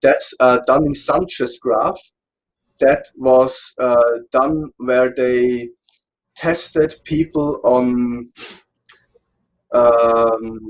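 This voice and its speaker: A man from Austria with an Austrian German accent, German his first language, explaining a psychology topic in a casual online panel, pausing often to search for words. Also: mid-range voice, fairly even intonation, rough recording. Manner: hesitant, searching for words, checks notes.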